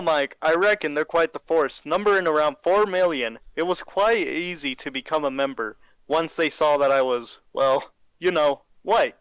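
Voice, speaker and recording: A man's voice: on the high side, a bit bright, clean tone, poor audio. Folksy 1920s radio interviewee acted by a man. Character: folksy man recounting his undercover time in a hate group.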